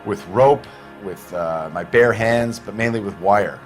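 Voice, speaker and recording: A man playing an anxious, defensive working-class murder suspect in a crime video game, halting and pleading. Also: on the low side, faint music behind, close to an everyday voice. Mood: uneasy. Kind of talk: dramatic interrogation acting.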